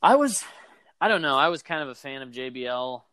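A man co-hosting a casual podcast chat, talking in an animated, rambling way with lots of fillers. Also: medium pitch, brisk, dry recording. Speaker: podcast co-host. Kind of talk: casual chat about wrestling.

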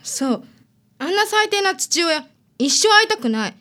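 A woman's voice: very high-pitched, sing-song, unhurried, fairly bright tone, exaggerated voice. A woman playing a stubborn, wounded teenage daughter in a radio drama. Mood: pained, defiant.

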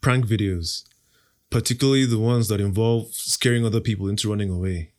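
A young Nigerian man, Igbo his mother tongue, talks with a low-pitched voice and a cocky, playful assurance. He answers quick interview questions as if savoring his own lines. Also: fairly even intonation, a little mellow. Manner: confident, playful, self-assured. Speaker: podcast host, interview guest.

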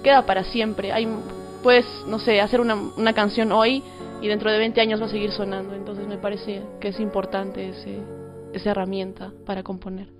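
A woman's voice: medium pitch, flat delivery, normal speed, music in the background. A woman with a Peruvian accent speaking as an interview guest, a singer-songwriter talking casually. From Peru.

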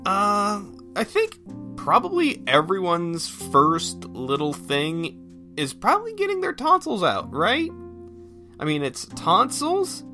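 A man's voice: high voice, huge pitch swings, takes it slowly, sharp tone, faint music behind. A man talking casually on his own as a podcast host, thinking aloud in a folksy, self-effacing way. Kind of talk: casual solo rambling chat.

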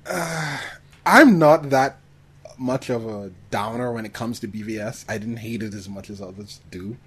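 A man co-hosting a podcast, talking casually about films with animated asides and mock quotes. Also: medium pitch, normal speed, a little hiss or hum. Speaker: podcast co-host. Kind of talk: casual film discussion.

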